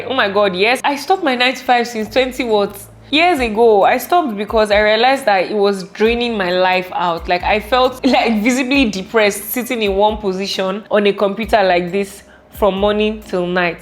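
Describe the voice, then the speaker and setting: Playful Nigerian woman vlogger over background music. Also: mid-range voice. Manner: playful, animated, giggly, exclamatory. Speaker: vlogger answering viewer questions. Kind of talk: casual Q&A vlog chat.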